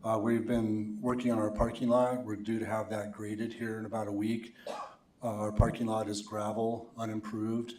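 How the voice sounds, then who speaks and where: A man giving a plain, steady report as a ballpark association representative during public comment. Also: fairly low pitch. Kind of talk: public comment at a council meeting. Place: council chamber. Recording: echoey council chamber.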